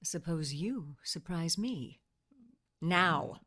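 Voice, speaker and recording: Lady doing a witchy wife in an old radio drama, teasing n bossy, lowish voice, very swoopy. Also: animated, very slow, a bit bright, full character voice. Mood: coy, teasing. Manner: coy, taunting, commanding.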